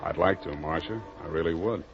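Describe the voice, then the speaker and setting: A man's voice: rumbling low, a little fast, creaky, background noise. A man playing a hardboiled, wry private detective with an American accent and a clipped, unsentimental delivery, in 1940s radio noir style. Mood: tender, resigned. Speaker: lead actor and narrator. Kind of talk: 1940s radio noir acting, first-person narration. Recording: dull, lo-fi 1940s radio recording with music.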